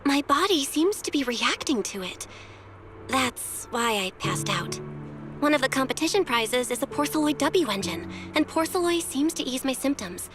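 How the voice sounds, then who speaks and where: A woman voice acting a composed, caring young woman in a video game, calm and measured, gently teasing yet determined. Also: high voice. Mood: serious, determined. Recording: music behind the voice.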